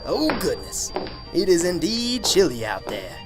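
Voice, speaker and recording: A man plays a genial country preacher in a radio drama. He speaks slowly and floridly, pitch rising and dipping widely, as if always sermonizing, with clear music behind him. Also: wildly swooping pitch, full character voice. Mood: cheerful. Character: genial, pious small-town preacher, folksy and unruffled.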